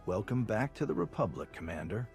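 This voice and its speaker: Low-voiced, suave spy charmer. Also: male voice, sing-song, fairly quick, a little mellow, faint music behind. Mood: confident, persuasive. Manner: smooth-talking, casual, teasing. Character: suave, flirtatious male Republic spy, easygoing charmer. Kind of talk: video game cutscene acting.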